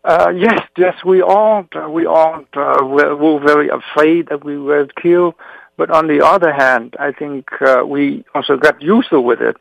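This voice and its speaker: A middle-aged man from South Vietnam, a native Vietnamese speaker, telling his life story as an interview guest, pausing often with "uh". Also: a little high, creaky, a bit bright, dry recording, rough recording. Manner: frequent "uh" fillers, halting.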